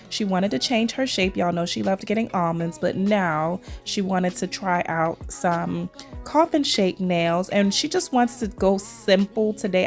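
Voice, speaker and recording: A chatty woman in her thirties from Trinidad talks viewers through her work in a relaxed voice-over, her low voice rough with creak. Her throat still sounds a little scratchy after losing her voice. Soft music plays faintly behind her. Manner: chatty, addresses viewers as "y'all" often. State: recovering voice, slight throat tickle. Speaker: nail vlogger.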